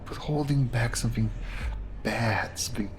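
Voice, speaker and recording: An actor playing a grim, insinuating police detective in a noir audio drama, with a deliberate, sly, theatrical delivery. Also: male voice, medium pitch, a little hiss or hum, natural voice. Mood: ominous. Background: music.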